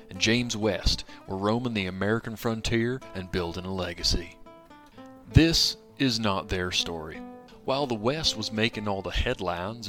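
A low, even storyteller, a man voicing the narrator of a frontier outlaw tale. Guitar plays clearly behind his measured telling. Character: gravel-toned male narrator of an outlaw tale. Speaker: narrator of an audio play. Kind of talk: scripted western narration. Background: guitar music.

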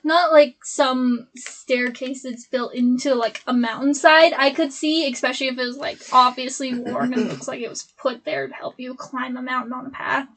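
A woman's voice: high voice, fairly even intonation, moderate pace, hardly any pauses, a bit bright, slight background noise. A young adult woman co-hosting a podcast, talking in a rambling way full of "like.". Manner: rambling, many "like" fillers. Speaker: podcast co-host. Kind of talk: casual podcast chat.

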